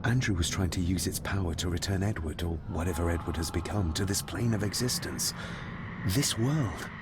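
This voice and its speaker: Casting: male actor as haunted young heir in a period mystery game, low-pitched and brooding, heavy ambient noise. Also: moderate pace, close to an everyday voice. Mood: earnest, troubled. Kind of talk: video game voice acting. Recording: loud ambient rumble behind voice.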